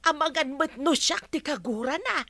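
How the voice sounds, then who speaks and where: Touchy older neighbour, Ilocano accent, whiny, very animated high voice, village comedy. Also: female voice, normal speed, dry recording, exaggerated voice. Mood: complaining. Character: touchy older village neighbour woman, complaining of being disliked. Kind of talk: broadcast Ilocano comedy drama acting.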